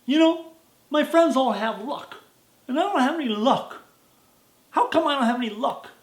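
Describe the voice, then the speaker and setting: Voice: medium pitch, very animated, measured pace. A storyteller doing a casual, slangy young man. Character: young man seeking luck, casual and blunt. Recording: roomy echo.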